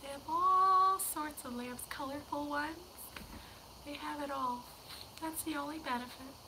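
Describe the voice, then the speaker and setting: A young woman hosting a casual livestream, hoarse and losing her voice to a cold, chatting in a rambling way that slips into whispers. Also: sits high, a little slow, background noise. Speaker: livestream host.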